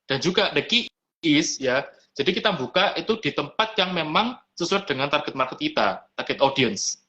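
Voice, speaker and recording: Restaurant owner guy, bright forceful voice, laid back, kinda dull lo-fi stream audio. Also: on the high side, animated, moderate pace. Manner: easygoing, mixes in English business words. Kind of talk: casual interview chat.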